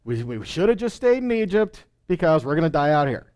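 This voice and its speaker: Preacher guy doing a fed up doom and gloom israelite, whiny, highish voice, really animated complaining. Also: brisk. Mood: despairing complaint. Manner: exasperated, dramatic complaining. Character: despairing, whining crowd of Israelites complaining in the wilderness.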